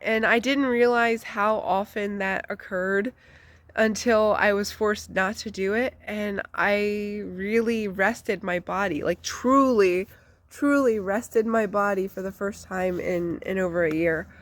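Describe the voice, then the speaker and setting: A woman talks straight to her viewers in an unpolished, candid way, with plenty of ums and likes. Her voice is stuffy and congested, still recovering from the flu, at an ordinary middle pitch. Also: steady tone, slow pace, no room sound. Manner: chatty, candid, with frequent fillers. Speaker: vlogger. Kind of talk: casual personal life update.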